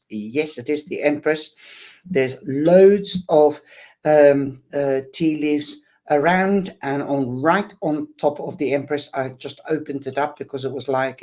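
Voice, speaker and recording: A man's voice: mid-range voice, a little mellow. A man doing a tarot and tea-leaf reading to camera, hesitant and full of ums, speaking fondly to the viewer.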